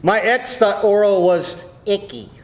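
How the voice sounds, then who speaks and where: A man's voice: high voice, a little slow. A man playing a flustered, insecure boyfriend in a live screenplay table read, with an incredulous, emphatic delivery. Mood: indignant. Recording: echoey room, live reading.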